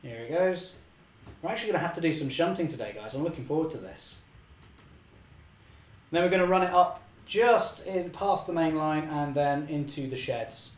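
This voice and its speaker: Young guy hosting a live hobby stream, a bit hoarse, chatty and upbeat, higher voice, echoey room. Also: animated, normal speed. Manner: chatty, self-correcting, cheerful. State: slightly hoarse. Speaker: model railway channel host. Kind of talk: live-stream running commentary.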